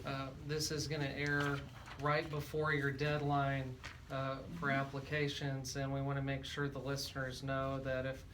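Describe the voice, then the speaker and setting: Even-toned American male radio interviewer. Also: mid-range voice, normal speed. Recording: faint background noise.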